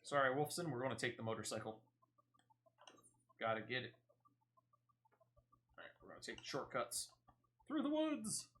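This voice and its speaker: A man's voice: medium pitch, huge pitch swings, moderate pace, long pauses, fairly bright tone. A man streaming a video game, casually thinking aloud and narrating each of his moves. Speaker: gaming streamer. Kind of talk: casual gameplay commentary.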